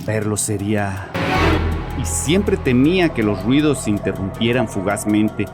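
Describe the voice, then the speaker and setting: A tormented father with a low voice, over clear background music. He confesses in a horror audio drama, guilt-ridden and defensive. His delivery is haunted and halting, edged with agitation. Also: expressive. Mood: haunted, fearful. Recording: music behind the voice.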